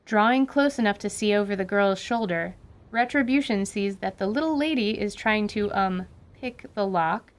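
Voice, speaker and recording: A woman narrating a tabletop adventure as game master, in an even, wry storytelling manner. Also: medium pitch.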